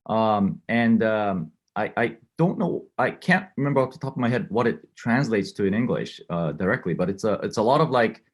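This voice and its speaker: Male guest from Korea: husky, animated delivery, talks things through at length over an earbud mic. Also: fairly low pitch, normal speed, few pauses. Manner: thoughtful, explaining at length. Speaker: guest, watch collector. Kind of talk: casual interview chat.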